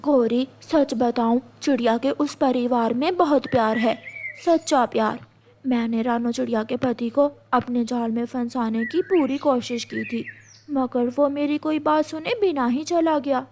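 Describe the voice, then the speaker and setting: A woman's voice: high voice, fairly even intonation, unhurried, a little mellow, slight background noise. A woman voicing a bold, scheming female bird, a gossiping temptress in a cartoon story, forward and insinuating. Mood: confiding, gossipy. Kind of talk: Hindi cartoon story acting.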